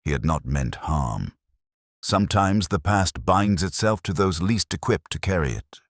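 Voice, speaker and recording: Very deep-voiced male mystery narrator. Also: lively intonation, measured pace, no echo, studio quality. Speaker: narrator of a detective story. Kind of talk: scripted literary narration, first-person mystery.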